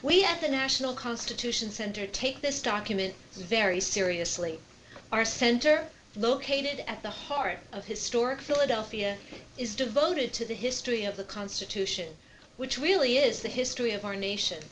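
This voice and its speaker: A woman delivering a prepared, formal opening speech in an earnest, measured manner. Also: a little high, animated, a bit bright, a little hiss or hum, rough recording. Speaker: constitution center representative giving opening remarks.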